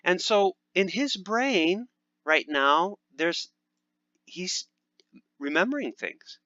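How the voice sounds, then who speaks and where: A man's voice: high voice, very animated, slow, sharp tone, dry recording, highs cut off. A man hosting a casual language lesson. He talks upbeat over gameplay, with many "uh" fillers and restarts. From United States. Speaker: language teacher and channel host.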